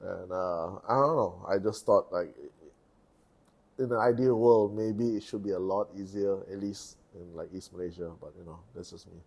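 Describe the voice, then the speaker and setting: Low, mellow man speaking haltingly. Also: fairly even intonation, gravelly, breathy edge. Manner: halting, full of fillers like "uh" and "like". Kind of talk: casual chat.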